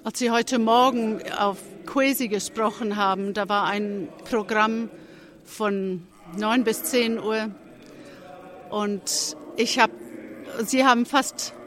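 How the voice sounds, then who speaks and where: Church testimony, a bit congested from a cold, slow and unhurried, halting delivery with restarts, earnest. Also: mid-range voice, a bit bright, slight background noise. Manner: halting, with frequent restarts and pauses. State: has a cold. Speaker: church speaker giving a testimony. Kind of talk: spoken testimony at a church service.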